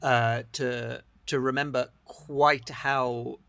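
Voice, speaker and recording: A man's voice: medium pitch, flat delivery, takes it slowly, gravelly, bright, forceful tone. A man in his early forties, interviewing a guest in a casual, chatty way. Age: 40s. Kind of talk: conversational interview.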